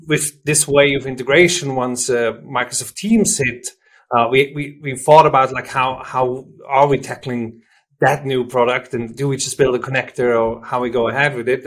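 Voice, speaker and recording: A man from Switzerland, a native German speaker with a Swiss German accent, explaining things informally and haltingly as an interview guest, often rephrasing himself. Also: mid-range voice. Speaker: software company representative, interview guest. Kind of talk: conversational product interview.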